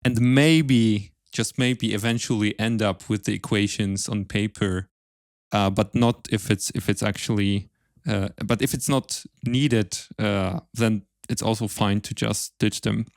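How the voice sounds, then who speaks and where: A man hosting an interview podcast in a conversational, earnest and thoughtful, halting way. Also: fairly low pitch, steady tone, vocal fry, no room sound, clean studio sound. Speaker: podcast host.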